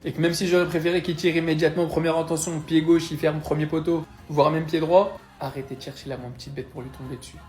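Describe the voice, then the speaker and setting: A man's voice: a little high, level tone, quick pace, few pauses, darkish tone, echoey room, soft background music. A man giving a playful, cocky football commentary in a casual video, as a Barça supporter.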